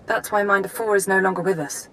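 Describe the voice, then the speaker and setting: A businesslike female spy handler played by a woman with a mid-pitched voice. She briefs firmly and without fuss as in a video game, over a faint rumble. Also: fairly even intonation, quick pace, lightly acted. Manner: crisp, businesslike, firm. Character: brisk, no-nonsense female intelligence handler.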